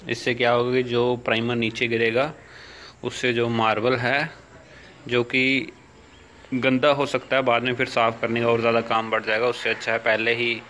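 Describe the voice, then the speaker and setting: Bright-voiced man vlogging home repairs. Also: mid-range voice, flat delivery, moderate pace, a little hiss or hum. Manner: chatty, explains step by step. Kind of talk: casual home-building vlog narration. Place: house under construction, indoors and on a veranda.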